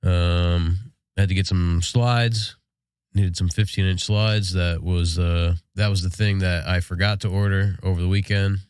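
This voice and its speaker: A man's voice: sits low, fairly even intonation, measured pace, a little mellow, clean tone, no room sound, clean studio sound. An American man co-hosting a podcast in casual, laid-back shop talk, sounding congested from a sinus infection. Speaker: podcast co-host.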